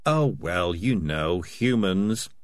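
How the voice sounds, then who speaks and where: A man's voice: low-pitched, expressive, takes it slowly. A man voicing a shifty, self-serving male cat in a storybook, hesitant and evasive with stammered excuses.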